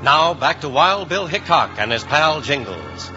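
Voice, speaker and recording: A man's voice: on the high side, sing-song, a little slow. A man narrating a western serial as a 1950s radio announcer. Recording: dull old radio recording. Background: music.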